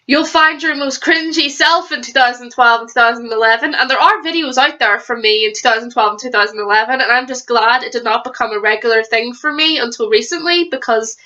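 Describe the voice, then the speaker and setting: A woman's voice: on the high side, a little fast, few pauses, sharp tone, highs cut off. Young woman vlogging, stuffy from a cold. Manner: rambling, chatty, with frequent restarts. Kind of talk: casual book review vlog.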